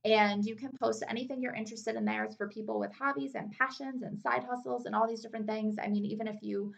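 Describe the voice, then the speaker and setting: A woman's voice: on the high side, fairly even intonation, quick pace, vocal fry, muffled recording. A woman hosting a podcast in an enthusiastic, informal, chatty way. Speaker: podcast host. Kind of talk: casual chat.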